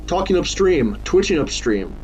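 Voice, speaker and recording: A man's voice: fairly high pitch, sing-song, moderate pace, darkish tone. A man reading scripted podcast credits and promotional notes as an announcer. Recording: dull lo-fi sound, music underneath. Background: background music.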